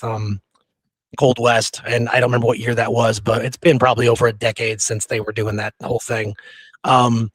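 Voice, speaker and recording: A man's voice: mid-range voice, no room sound. A man co-hosting a history podcast in a casual, conversational style.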